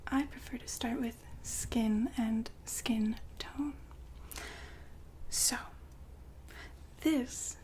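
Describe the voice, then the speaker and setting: A woman playing the poised, businesslike madam of a futuristic establishment in an ASMR roleplay, whispering softly and formally to a client. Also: on the high side, a little hiss or hum. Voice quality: soft, breathy whisper. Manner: polite, formal, unhurried saleswoman patter.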